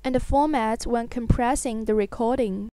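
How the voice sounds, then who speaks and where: Female narrator for a scripted how-to: high pitch, very clean tone, instructional. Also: moderate pace, warm tone, no echo. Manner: instructional, step by step. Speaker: software tutorial narrator.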